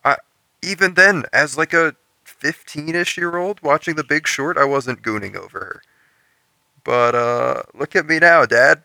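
Gravelly, sharp-edged young man chatting. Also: fairly high pitch, a little slow, no echo. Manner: irreverent, slangy, joking. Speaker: podcast co-host. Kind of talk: casual chat.

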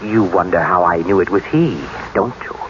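A man's voice: sits low, normal speed, close to an everyday voice. Man acting as arrogant cornered killer, jealous husband, desperate, theatrical radio drama delivery, dull noisy vintage broadcast. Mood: bitter gloating.

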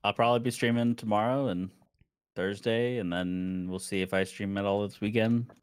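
A man's voice: lowish voice, steady tone, normal speed, clean tone. A man talking as a guest on a casual podcast, easygoing and plain-spoken. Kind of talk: casual chat.

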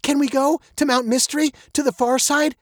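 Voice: high voice, steady tone, fairly quick, dry recording, studio quality, voice pushed up, natural voice. A storyteller voicing an eager, stubborn ten-year-old girl with a pleading, insistent manner for a children's story.

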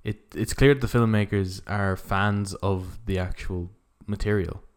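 Male podcast co-host, fairly low voice, conversational, halting, working thoughts out as he goes. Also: steady tone, clear, resonant tone. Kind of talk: casual conversational discussion.

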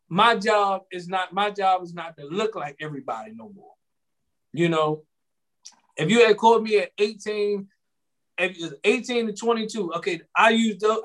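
Young man, late 20s, podcast co-host, high voice, loose rambling slang delivery. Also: fairly bright tone. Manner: rambling, repeats himself, slangy. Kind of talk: casual podcast chat.

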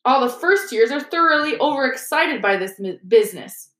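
A woman's voice: sits high, lively intonation, normal speed, reverberant, voice pushed up. A woman voicing a pompous, indignant teenage schoolboy prefect who scolds fussily in a storybook reading. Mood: fierce reproach. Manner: loud, indignant, fussy.

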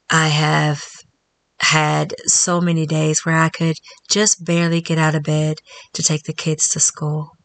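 Low, even-voiced woman podcast host. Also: dry recording. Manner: earnest, reflective, speaks affirmations slowly. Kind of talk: solo wellness podcast talk.

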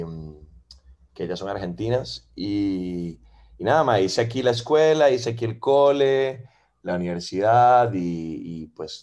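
A Venezuelan-born man in his thirties with a Costa Rican accent, chatting casually as a podcast guest and filling pauses with "ma" and "eh". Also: low-pitched. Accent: Costa Rican Spanish. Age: 30s. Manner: relaxed, fills with "ma" and "eh". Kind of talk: casual interview chat.

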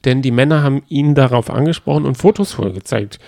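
Ein Mann plaudert als Co-Moderator eines Podcasts locker über Reality-TV, sarkastisch und meinungsfreudig. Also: male voice, mid-range voice, quick pace, vocal fry, warm tone, dry recording, studio quality. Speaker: podcast co-host. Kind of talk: casual chat about reality TV.

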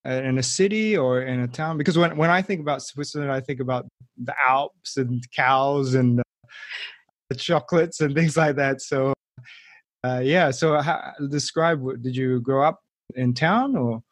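A man's voice: medium pitch, normal speed, no echo. A man hosting a podcast interview, friendly and often stopping and restarting with fillers. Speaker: podcast host.

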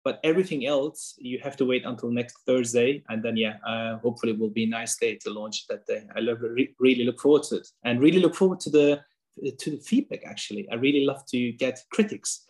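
A man's voice: medium pitch, brisk, darkish tone. A man whose first language is German, talking earnestly in a casual interview from his kitchen table, with frequent fillers and restarts. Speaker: author and guest.

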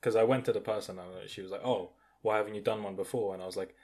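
A young man, an engineering student, chatting candidly and telling animated stories with casual swearing. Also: fairly low pitch, fast. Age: young adult. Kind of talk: casual podcast chat.

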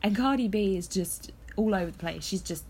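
A woman hosting a podcast, chatting casually from her bed with a hoarse voice from illness. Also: mid-range voice, fairly quick, darkish tone, slight background noise, rough recording. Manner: chatty, laughs often. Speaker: podcast host. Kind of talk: casual chat. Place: in bed at home.

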